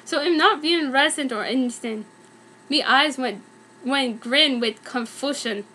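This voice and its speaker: A young woman with a high-pitched voice reads a fan story aloud. She delivers it as a mock-earnest dramatic reading played for comedy, keeping the clumsy wording as written. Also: unhurried, sharp tone, a little hiss or hum. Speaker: fan fiction reader.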